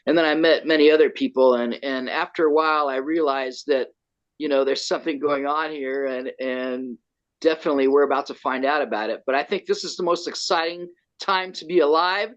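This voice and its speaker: A man running a conference, talking excitedly and rambling with lots of restarts over a video call. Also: fairly high pitch, brisk. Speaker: conference organizer. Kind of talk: casual video call chat. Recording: dull lo-fi call audio.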